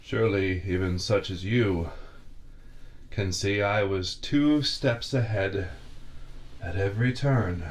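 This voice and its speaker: A man's voice: on the low side, slow pace, pauses often. A man voicing a pompous middle-aged male torturer with grand, formal villain speech in an ASMR roleplay, recorded on a binaural microphone. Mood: menacing.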